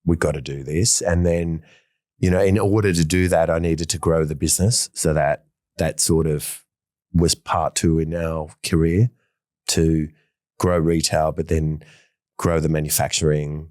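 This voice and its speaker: A man's voice: very deep voice, steady tone, unhurried, a little mellow, dry recording, studio quality. A middle-aged man, a fashion designer talking in a casual interview, enthusiastic and rambling with many fillers and restarts. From Australia.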